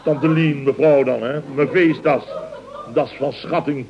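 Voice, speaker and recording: An actor playing a blustering, jovial boss in a radio comedy, stammering and exclaiming in mock-formal phrases. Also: male voice, fairly high pitch, fairly even intonation, unhurried, crowd noise. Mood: flustered indignation. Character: blustering, jovial male boss of a building firm. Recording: dull old radio recording.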